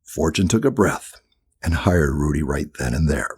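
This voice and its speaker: A man's voice: rumbling low, animated, normal speed, a little hiss or hum. A man reading a scripted children's audiobook as the narrator.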